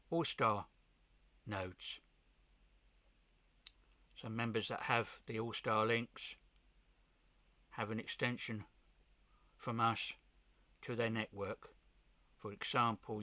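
A man giving an informal hands-on video demonstration, pausing between short phrases, with muffled sound. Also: on the low side, pushed and bright, no room sound. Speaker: amateur radio hobbyist presenter.

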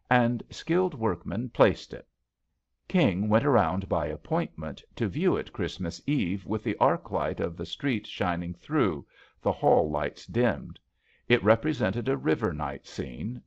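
Low-voiced man reading a story expressively. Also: creaky. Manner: measured, dramatic storytelling. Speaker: audiobook reader. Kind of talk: expressive literary narration of a romantic story. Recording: slightly dull, lo-fi audio.

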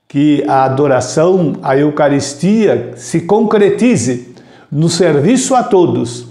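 Slow, mellow-voiced older Brazilian priest. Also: male voice, a little high, reverberant. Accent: Brazilian Portuguese. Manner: slow, measured preaching with open hand gestures. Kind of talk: devotional reflection. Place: small indoor room with shelves and a framed religious picture. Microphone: lapel mic.

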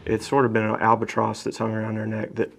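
A man in his thirties, a small-town mayor, giving relaxed answers in a seated indoor interview. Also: lowish voice, quick pace, gravelly, soft background music. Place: office, indoors.

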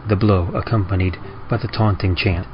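Male horror narrator, low pitch, deliberate dramatic pauses, slightly dull muffled audio. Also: steady tone. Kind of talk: dramatic scripted horror reading. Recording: muffled audio with clear background noise.

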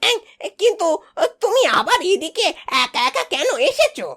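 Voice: very high-pitched, sing-song, normal speed, bright, forceful tone, exaggerated voice. A performer voicing an eager, inquisitive little animal friend from a children's fairy-tale cartoon. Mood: curious. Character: chatty little animal friend who scampers between trees. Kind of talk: cartoon voice acting.

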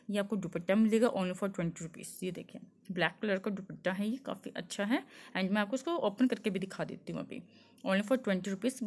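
A young woman from Delhi talks through a street-market tour while holding her phone, pointing out clothes and prices. She speaks in a chatty, easygoing way, mixes English words into her talk and fills pauses with many fillers. Her voice sits in a middle pitch. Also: darkish tone. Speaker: shopping vlogger. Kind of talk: walking market tour, showing goods to camera. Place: crowded outdoor street market. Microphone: handheld phone, speaker off-camera.

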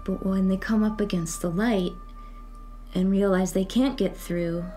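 An actress plays a secretive woman convinced of the spirit world. Her voice is soft-edged and dark, mid-pitched, and she speaks in a measured, cryptic way. Faint music sits behind this suspense audio drama. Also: fairly even intonation, close to an everyday voice. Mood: solemn. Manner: measured, cryptic, solemn delivery. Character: eerie, secretive woman who believes in spirits.

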